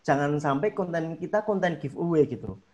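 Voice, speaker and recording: A man with a Javanese accent, a YouTuber guest giving casual advice in an online seminar, emphatic and animated when making a point. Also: on the high side, normal speed.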